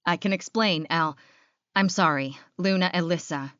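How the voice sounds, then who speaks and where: A woman's voice: fairly low pitch, moderate pace, fairly bright tone, clean tone, dry recording, lightly acted. A pleading werewolf man as a female narrator reads him explains himself apologetically. The audiobook recording is dry and slightly dull.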